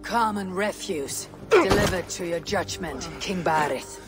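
A woman's voice: lowish voice, animated, unhurried, loud music behind the voice. A woman playing a bold, blunt, resolute female Viking warrior in a dramatic video game cutscene. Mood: firm, resolute. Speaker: game protagonist.

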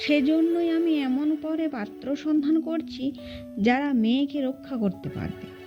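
Protective uncle in a storybook reading, played by a woman, humble, earnest, pleading, high for the role. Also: a little slow, dull audio, over music. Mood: tender concern. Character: protective, anxious, humble uncle guarding his niece.

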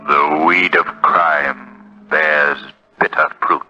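Sinister male avenger, deep, extremely slow, menacing, vintage radio sound. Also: steady tone, faint music behind, full character voice. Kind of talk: 1940s radio drama acting. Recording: muffled 1940s radio broadcast.

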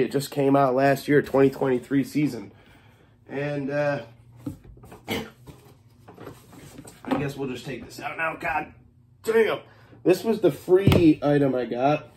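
A man's voice: mid-range voice, a little mellow, a little hiss or hum. Laid-back man with a stuffy cough. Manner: laid-back, swears freely, scolds his cat. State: congested with a cough. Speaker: fireworks vlogger. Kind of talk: casual unboxing vlog. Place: small kitchen at home, indoors.

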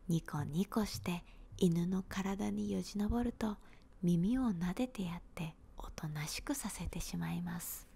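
A woman, giving a gentle, unhurried whispered ASMR storybook reading in a small room, close to a handheld recorder. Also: fairly low pitch, vocal fry, slightly rough.

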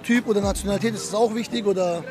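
A man's voice: high-pitched, racing. A young man doing a street interview outdoors at night with a handheld mic, asking casual questions and teasing the answers. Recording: outdoor street with music and crowd noise.

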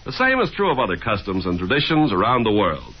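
A man's voice: fairly low pitch, big pitch swings, quick pace, slightly rough. An American man reading scripted announcements in 1950s radio style. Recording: dull, faintly noisy 1950s radio broadcast.

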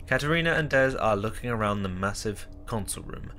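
A man's voice: on the low side, lively intonation, a little fast, bright, forceful tone, soft background music. A man reading scripted narration for an audio drama. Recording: faint background noise.

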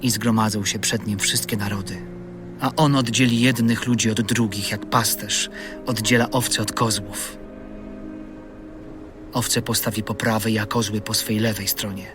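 An actor playing a solemn gospel narrator, measured and declamatory, in a dramatised audio Bible. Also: male voice, fairly low pitch, steady tone, close to an everyday voice. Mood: solemn, grave. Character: solemn gospel narrator foretelling a kingly judgement. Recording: music bed under voice.

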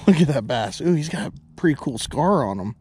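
A young man filming himself ice fishing outdoors on a frozen lake, chatting excitedly to viewers about each fish. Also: on the high side, breathy edge. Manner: excited, chatty, quick to laugh. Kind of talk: casual play-by-play to viewers. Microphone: head-mounted action camera.

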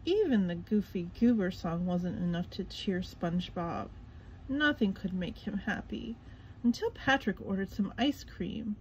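Expressive, slow-paced woman reading a storybook. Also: mid-range voice, lo-fi sound, slight background noise. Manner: expressive, dramatic emphasis. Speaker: storybook reader. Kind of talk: scripted children's story narration.

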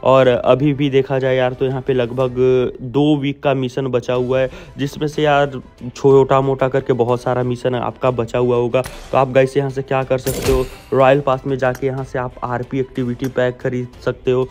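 Casting: young Indian male gaming YouTuber, informal and blunt, mid pitch with little variation, music underneath. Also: flat delivery, normal speed, few pauses, a little mellow. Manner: informal, addresses viewers as friends, blunt. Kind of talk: casual video game update commentary. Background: background music.

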